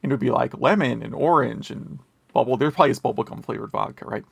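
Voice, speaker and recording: A man's voice: medium pitch, quick pace, gravelly, breathy edge, dry recording. An American man co-hosting a podcast in casual chat, wry and opinionated. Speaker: podcast co-host.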